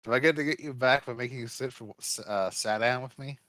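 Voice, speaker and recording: Jokey guy stumbling thru his words, bright tone, dry home mic. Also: mid-range voice, no room sound. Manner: joking, halting, with restarts and laughs. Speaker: guest watching for the first time. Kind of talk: casual chat. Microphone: home mic over a rough internet connection.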